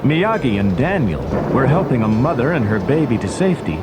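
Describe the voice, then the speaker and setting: A man's voice: mid-range voice, very animated, brisk, over loud music, low-quality recording. A man narrating a children's story recording from a script in a dramatic storytelling style.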